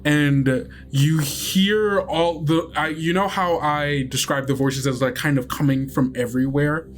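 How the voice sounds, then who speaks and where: A man narrating a tabletop roleplaying game as game master, improvising descriptions in a halting, conversational way. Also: fairly high pitch, steady tone, a little hiss or hum.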